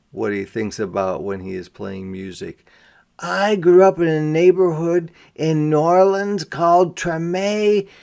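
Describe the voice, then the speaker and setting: Man, children's read-aloud, teacher, slightly higher pitch, unhurried pace, emphatic phrasing, explanatory asides. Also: darkish tone. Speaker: teacher reading a picture book aloud.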